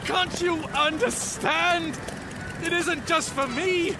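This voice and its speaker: An actor playing a desperate, defiant war pilot in a radio drama, pleading urgently. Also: male voice, very high voice, steady tone, slow pace, background noise.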